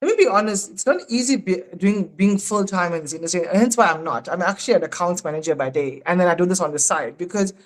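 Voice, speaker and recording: A South African man in his thirties, a podcast guest in casual, animated chat who laughs often. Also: high-pitched, fast, hardly any pauses, poor audio. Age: 30s.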